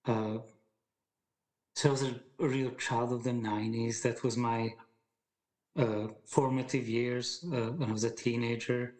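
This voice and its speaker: A man in his forties, speaking as a guest in a relaxed podcast chat. He talks in a thoughtful, halting way, with many fillers and restarts as he gathers his thoughts. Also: medium pitch. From Hungary. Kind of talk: casual conversation.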